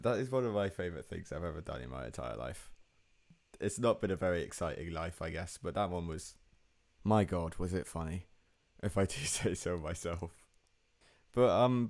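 Rambling, self-mocking man, very deep voice. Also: darkish tone. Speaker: podcast host. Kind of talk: casual chat.

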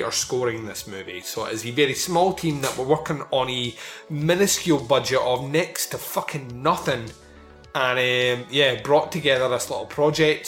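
A man's voice: fairly high pitch, a bit bright. A man casually reviewing a film as a show host, talking in a rambling way with fillers and the odd swear word. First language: not Italian. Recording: roomy echo, faint music behind.

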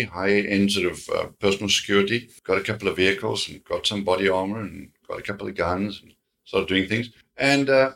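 Hombre sudafricano de voz grave y brillante. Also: male voice, low voice, a bit bright. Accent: South African. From South Africa. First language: Afrikaans. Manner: earnest, repeats words while telling a faith story. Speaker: guest, security company founder. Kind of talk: storytelling in an interview.

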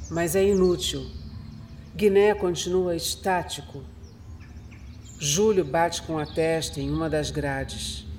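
A man narrating a scripted horror radio drama in a measured, dramatic manner. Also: fairly high pitch, a little mellow, a little hiss or hum. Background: music.

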